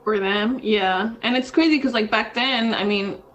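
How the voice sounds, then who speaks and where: A woman's voice: on the high side, moderate pace, fairly bright tone, lo-fi sound. A woman in her thirties, co-hosting a podcast in casual chat, rambling with lots of fillers. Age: 30s. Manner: rambling, frequent fillers, says dude. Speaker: podcast co-host.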